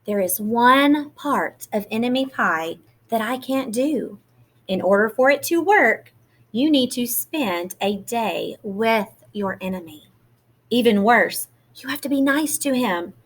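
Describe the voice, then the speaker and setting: A woman voicing a calm, confident, secretive father in a children's storybook, in a measured and knowing way. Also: a little high, heavily acted. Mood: confiding.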